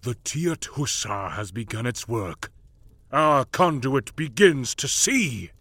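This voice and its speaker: A man voicing a gruff, bitter old lord who speaks slowly with a husky growl. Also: mid-range voice, animated, fairly bright tone, dry recording, exaggerated voice. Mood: approving. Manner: slow, growling delivery.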